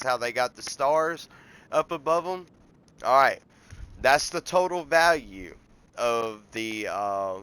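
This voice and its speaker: Stuffy-nosed guy hosting a game walkthrough; bright, smooth voice, rambling and friendly. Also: mid-range voice, poor audio. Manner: folksy, rambling, explaining step by step. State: congested from a cold. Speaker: gameplay tutorial host.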